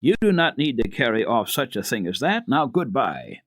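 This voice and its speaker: A man voicing a stern, fault-finding female housekeeper in a children's storybook reading, with disapproving, measured speech. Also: mid-range voice, very animated, dry recording.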